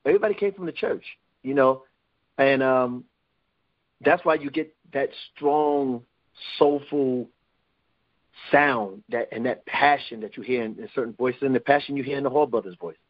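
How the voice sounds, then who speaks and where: A man's voice: medium pitch, moderate pace. Rambling New man, muffled line. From New York. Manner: rambling with many restarts. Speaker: guest. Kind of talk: casual interview talk.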